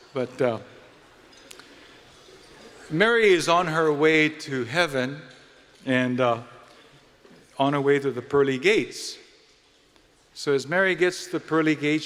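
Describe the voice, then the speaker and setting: A man with a Hawaiian accent addresses guests as the host of an evening event. His voice sits a little high, and his delivery is folksy, with repeated words and small halts. The sound carries the long reverb of a large venue. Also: a bit bright. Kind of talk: live welcome speech with jokes and introductions.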